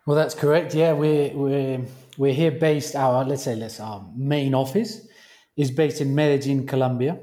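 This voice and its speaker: A British man, a company founder, speaking as a guest on a remote interview call, with halting speech and frequent restarts. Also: mid-range voice, fairly even intonation, mellow. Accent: British English. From Britain. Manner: halting, restarts phrases often.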